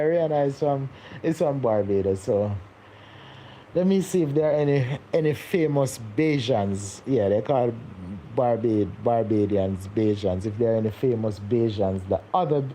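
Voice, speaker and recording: A man's voice: lowish voice, mellow, a little hiss or hum, rough recording. A young man, hosting a casual live stream and chatting with viewers, rambling and repeating his words as he goes. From Jamaica. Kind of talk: casual live-stream chat reading comments.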